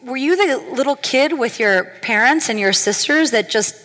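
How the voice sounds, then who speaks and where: A woman's voice: high-pitched, animated, normal speed, pushed and bright. A woman hosting an onstage interview, asking questions in an upbeat, playful way. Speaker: interviewer, host. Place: event stage in a large hall. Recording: reverberant hall.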